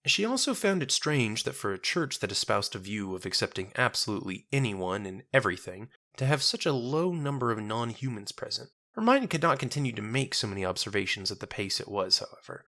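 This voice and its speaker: A man's voice: lowish voice, big pitch swings, brisk, few pauses, pushed and bright. A man narrating a scripted dramatic story as its storyteller.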